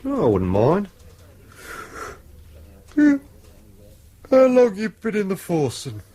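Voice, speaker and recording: Husky, lively English policeman. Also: high voice, slow pace, full character voice. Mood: casual, friendly. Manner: casual, chatty. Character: easygoing male police colleague of a detective. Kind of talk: radio drama acting.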